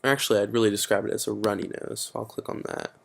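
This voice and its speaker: A man's voice: on the low side, fairly even intonation, quick pace, vocal fry. A teenage boy presenting a casual computer tutorial, explaining steps with frequent fillers. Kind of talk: screen-recorded computer tutorial.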